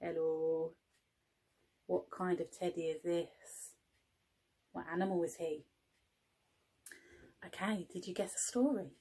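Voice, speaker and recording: A woman's voice: fairly low pitch, fairly even intonation, slow pace, pauses often, dark tone, slightly rough. A woman, an early years teaching assistant at home, encouraging young children and asking them questions as she reads a story.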